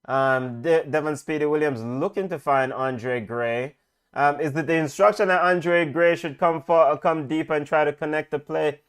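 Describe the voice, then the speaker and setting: A middle-aged man commentates on a football match as it happens. His voice sits a little high for a man, and he goes through the play-by-play haltingly, repeating phrases. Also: clean tone. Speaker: football watch-along commentator. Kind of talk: live football commentary with casual chat.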